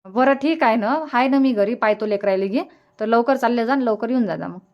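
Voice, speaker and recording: Woman playing a high-pitched practical Varhadi village mother. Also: fairly quick, highs cut off, natural voice. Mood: insistent, comforting. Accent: Varhadi Marathi of Vidarbha. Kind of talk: dialect cartoon comedy dialogue.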